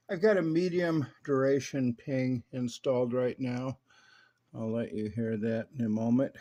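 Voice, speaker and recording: A man's voice: fairly low pitch, warm tone. A man working as a radio repair technician, methodically narrating a hands-on demonstration for a customer step by step. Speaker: radio repair technician presenting his restoration work.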